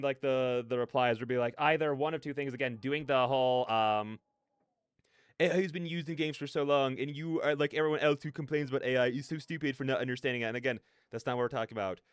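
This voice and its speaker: Clear-voiced man rambling casually on a livestream. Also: fairly high pitch, dry recording, studio quality. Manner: rambling, animated slangy asides, self-interrupting. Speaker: livestreamer, content creator. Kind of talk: casual livestream rant and chat.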